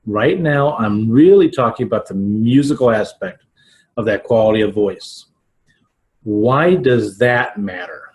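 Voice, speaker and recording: An American man in his forties giving an informal instructional talk to camera at home, deliberate and emphatic, with halting pauses. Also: fairly low pitch, a little mellow. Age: 40s. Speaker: video podcast host, writing teacher. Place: small living room at home, indoors.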